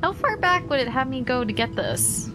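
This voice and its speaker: A woman's voice: high voice, sing-song, moderate pace, noisy background. A young woman streaming a game, chatting playfully with her chat as she plays. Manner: playful, chatty, talks to chat while playing. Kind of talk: casual gameplay commentary to chat.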